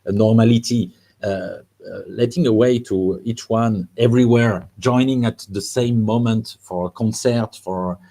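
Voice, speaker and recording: A man moderating a panel discussion, speaking haltingly with many "uh"s and repeated words. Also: on the low side, steady tone, dark tone.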